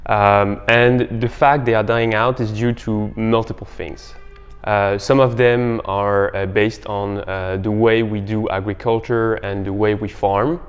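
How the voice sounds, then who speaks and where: A young man giving halting, explanatory answers as an interview guest. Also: on the low side, level tone, smooth. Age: young adult. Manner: halting, hesitant phrasing. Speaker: startup co-founder, interview guest. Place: bright indoor living room. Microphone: clip-on lapel mic. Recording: muffled, reverberant room.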